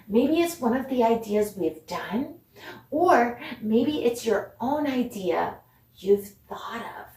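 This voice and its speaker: A woman's voice: a little high, a little mellow. A woman teaching a children's dance lesson on video, speaking directly to pupils in an encouraging way, asking questions and giving praise. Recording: roomy echo, distant mic, background noise.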